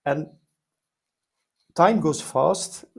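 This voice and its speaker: A man's voice: on the high side, drawn-out words, creaky, slightly rough. A middle-aged man with a Flemish Belgian accent, a guest telling his career story in an interview with enthusiasm, many fillers and repeated words. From Belgium, Flemish-speaking side. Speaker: guest, life science consultant.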